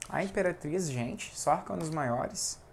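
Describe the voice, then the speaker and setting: A man's voice: fairly high pitch, measured pace, gravelly, slight background noise. A man with a Brazilian accent chatting casually as he reads tarot cards, often tacking on little tag questions. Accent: Brazilian Portuguese. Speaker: tarot reader. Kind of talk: casual tarot reading vlog.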